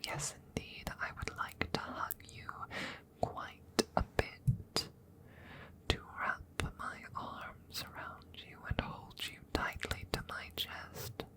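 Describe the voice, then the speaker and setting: A woman voicing a courteous, smitten female dragon in a hesitant, formal ASMR roleplay, whispering softly and breathily close to the microphone. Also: slow, lightly acted. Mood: tender, intimate. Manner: hesitant, formal, old-fashioned phrasing with murmured repetitions.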